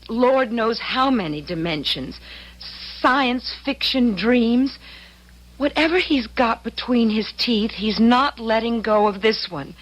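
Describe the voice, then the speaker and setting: Radio drama casting: worried, protective young American daughter, highish voice, unhurried, earnest pleading delivery. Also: female voice, a bit bright, poor audio. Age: young adult. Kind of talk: old-time radio drama acting. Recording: old radio drama recording.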